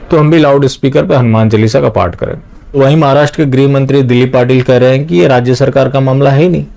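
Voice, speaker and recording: A man's voice: mid-range voice, a little mellow. A man delivering opinionated political news commentary straight to viewers, often posing rhetorical questions.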